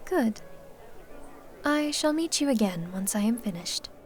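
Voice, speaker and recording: Actress as commanding female vampire of rank, ASMR roleplay; fairly high voice, formal and courteous. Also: animated, unhurried, soft background music. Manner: formal, authoritative.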